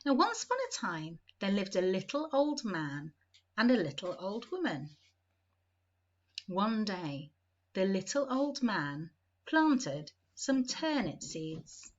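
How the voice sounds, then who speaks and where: A woman reads a children's story with a very lively, swooping delivery. Her voice sits fairly high and she takes her time, leaning on key words for young listeners. The recording is slightly dull and lo-fi. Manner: deliberate, emphatic, repetitive build-up phrasing for young listeners. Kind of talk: animated children's storybook read-aloud.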